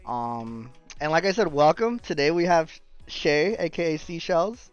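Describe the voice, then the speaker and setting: A man hosting a casual podcast interview on a stream, relaxed and friendly, who clears his throat now and then. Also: on the high side, measured pace, no room sound, muffled recording, faint music behind. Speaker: podcast host.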